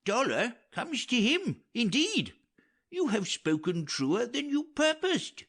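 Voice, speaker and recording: A man's voice: very high-pitched, wildly swooping pitch, slow pace, a bit bright, pitched above the performer's own voice, heavily acted. A man voicing a talkative, optimistic old royal counsellor in an earnest, wordy Shakespearean audiobook reading. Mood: earnest, consoling.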